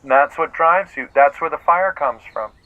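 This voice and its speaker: A thin phone line carries an eager, overthinking male struggling actor in a comedic audio play. His voice sits fairly high, rambling and effusive with awkward enthusiasm. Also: moderate pace, sharp tone. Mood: gushing, eager. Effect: phone filter.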